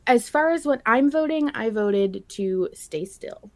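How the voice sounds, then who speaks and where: A woman's voice: a little high, expressive, measured pace, a little mellow, dry recording. A woman hosting a podcast in a casual, conversational style. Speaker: podcast host.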